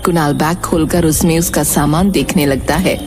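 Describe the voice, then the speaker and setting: A woman's voice: deep voice, steady tone, moderate pace, music in the background. A woman giving scripted, dramatic narration of a story.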